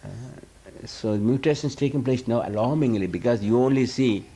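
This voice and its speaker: A man's voice: medium pitch, moderate pace, mellow, low-quality recording. A man who is a spiritual teacher, answering audience questions in an informal lecture. He rambles, restarts his sentences often and chuckles as he talks. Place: live talk before an audience.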